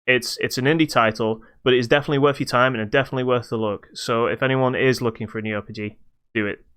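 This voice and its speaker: British man, clear voice, casual game review. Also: mid-range voice, steady tone, fast, a bit bright. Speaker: podcast host reviewing a video game. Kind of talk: casual podcast review chat.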